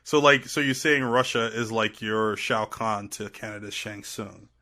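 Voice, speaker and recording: A man's voice: fairly low pitch, measured pace, sharp tone. A man from Trinidad chatting casually and playfully as a podcast guest. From Trinidad and Tobago. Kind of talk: casual chat.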